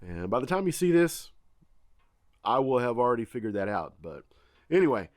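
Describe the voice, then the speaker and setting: A congested man with a drawl talks casually to his viewers, folksy and chatty. His voice sits at an ordinary pitch and is recorded dry and close. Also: big pitch swings, normal speed, no room sound. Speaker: farm vlogger. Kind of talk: casual vlog talk to camera.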